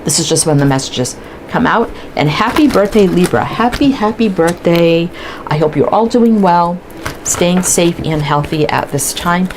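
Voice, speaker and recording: A woman's voice: fairly low pitch, lively intonation, unhurried, noisy background. A woman doing a casual tarot reading, chatting and thinking aloud as she pulls cards, stuffy and sniffling from allergies. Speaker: tarot reader.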